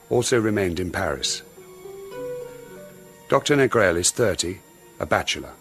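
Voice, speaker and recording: A sober reader of case reports in a radio drama. His voice is deep, formal and measured. Faint music plays underneath. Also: a bit bright. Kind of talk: scripted narration in radio drama.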